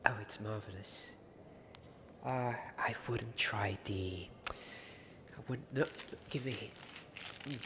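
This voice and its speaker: A man playing a fussy, hesitant guest at a ghostly feast in a soft-spoken ASMR roleplay, muttering and repeating himself. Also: fairly low pitch, takes it slowly, long pauses, background noise. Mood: wary, confiding.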